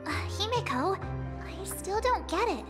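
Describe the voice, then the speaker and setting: Small childlike mascot: very high, squeaky, bouncy intonation, curious. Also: female voice, sing-song, unhurried, over music, exaggerated voice. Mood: puzzled. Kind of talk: video game cutscene acting.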